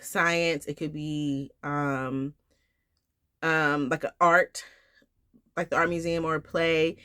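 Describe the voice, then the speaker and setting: Woman, thirties, bright voice, stuffy nasal from the flu, casual rambling chat, fillers and restarts. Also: on the low side. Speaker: homeschool vlogger. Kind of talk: casual talk to camera.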